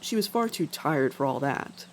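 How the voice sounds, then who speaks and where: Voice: medium pitch, animated, moderate pace, slight background noise. A narrator reading an animal adventure story as scripted narration. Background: faint background music.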